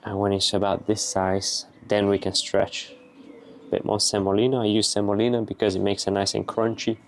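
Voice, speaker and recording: A young man with a Bulgarian accent, casually explaining as he cooks outdoors in a garden, relaxed and matter-of-fact. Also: fairly low pitch, brisk, a little hiss or hum. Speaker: vlogger. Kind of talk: casual explaining while cooking.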